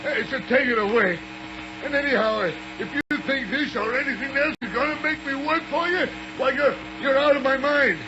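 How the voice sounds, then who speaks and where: An actor playing a stubborn, slow-witted, blustering captive in a 1930s radio serial, stammering and repeating himself in folksy ungrammatical speech. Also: male voice, very high-pitched. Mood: panicked, blustering. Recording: muffled, noisy 1939 radio recording.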